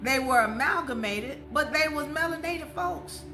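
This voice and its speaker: A woman's voice: high-pitched, lively intonation, moderate pace. A woman giving an impassioned, informal history lecture in an emphatic, didactic way, often asking rhetorical questions. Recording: music under the voice. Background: music.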